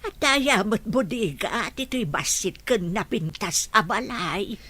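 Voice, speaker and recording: A woman's voice: mid-range voice, expressive, unhurried, pushed and bright, husky, full character voice. A woman playing a confused, forgetful old grandmother in an audio drama, rambling and insistent.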